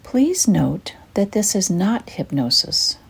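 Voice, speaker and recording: An older woman with a soft, breathy, husky voice, gently and soothingly narrating a guided meditation in an unhurried way. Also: mid-range voice, big pitch swings.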